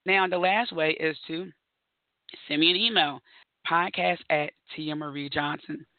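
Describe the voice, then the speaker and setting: A woman hosting her own podcast, her voice raspy and hoarse as she recovers from bronchitis, talking in a chatty, upbeat way with frequent laughs. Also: deep voice, fairly even intonation, unhurried, dry recording, phone-quality sound. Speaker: podcast host. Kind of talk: solo podcast monologue.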